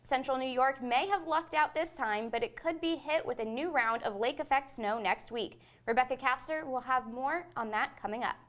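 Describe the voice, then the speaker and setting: A young woman anchoring a student newscast, reading in a clear, measured way. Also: high voice, a bit bright. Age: young adult. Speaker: news anchor. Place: student TV studio.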